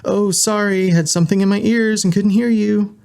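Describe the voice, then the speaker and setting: A man's voice: fairly high pitch, animated, moderate pace, darkish tone, voice pushed up. A man voicing a breezy, evasive female scientist, a furry animal character in an audio story. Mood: playful, casual.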